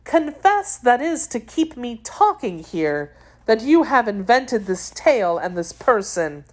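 Woman performing an imperious, secretive young lady, pitched up. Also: big pitch swings, measured pace. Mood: impatient. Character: secretive, imperious young Frenchwoman, anxious and guarded.

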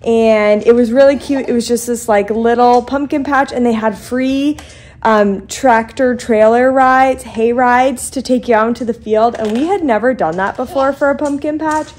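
A woman's voice: fairly high pitch, normal speed, a little mellow, a little hiss or hum. Chatty mom vlogging from her basement. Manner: chatty, rambling with many ums. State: stuffed up, congested. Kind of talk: casual vlog chat to camera. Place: home basement playroom, indoors. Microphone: phone held close to the face.

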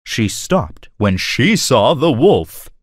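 A man narrating a scripted children's story in an expressive, dramatic storytelling manner. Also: medium pitch, slow, slightly rough, dry recording, clean studio sound.

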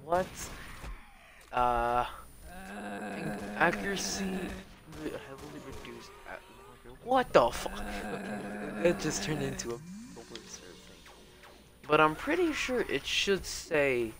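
A man's voice: fairly high pitch, big pitch swings, slow, creaky, crowd noise. Young man mumbling through a game stream. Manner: rambling, mumbly, swears freely, many fillers. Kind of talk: casual gaming livestream commentary.

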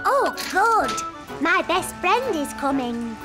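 A small cartoon princess, very high and slow in delivery. She is eager, bossy and childishly blunt, stressing what she wants. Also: sing-song, music in the background, exaggerated voice. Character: bossy, eager little princess girl, about four. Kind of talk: animated children's cartoon acting.

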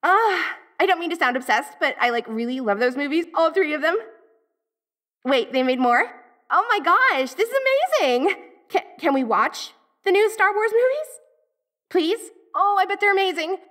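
A woman's voice: extremely high pitch, lively intonation, moderate pace, a bit bright. A woman playing a dorky tsundere ghost girl from the eighties in an audio roleplay, with an American valley-girl accent and a gushing, hesitant, slangy manner. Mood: excited, pleading. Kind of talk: audio roleplay acting, speaking directly to the listener.

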